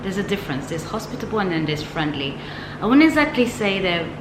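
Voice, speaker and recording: A woman's voice: on the low side, very animated, brisk. A woman talking casually to camera in a vlog, reflective and chatty, with light laughs and frequent fillers. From from the West, now living in Ghana. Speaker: vlogger. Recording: echoey room with noticeable background noise.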